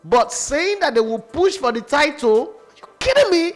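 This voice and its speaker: A man's voice: extremely high pitch, big pitch swings, moderate pace. A man arguing football on a sports radio show, boastful and mocking, with faint music behind. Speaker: football pundit. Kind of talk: heated sports radio debate.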